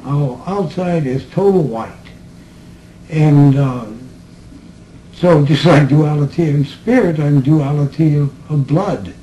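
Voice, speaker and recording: A man speaks to a small group in a room, slow and reflective, often laughing between his remarks. His voice sits a little high. The old tape carries a faint hiss. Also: warm tone, poor audio. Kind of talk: recorded group conversation.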